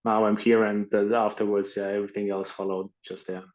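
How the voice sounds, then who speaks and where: A man's voice: lowish voice, flat delivery, brisk, muffled recording. A man from Latvia, a guest photographer chatting casually in an interview and often restarting his sentences. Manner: hesitant, many restarts and fillers. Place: Estonia.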